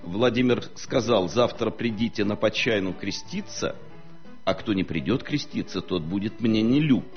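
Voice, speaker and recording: A man with a low voice holds forth like a historian on a talk show. He talks in a measured, lecturing way, often pausing to hesitate. The recording sounds muffled and lo-fi, with faint music underneath. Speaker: historian guest. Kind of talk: studio talk-show discussion.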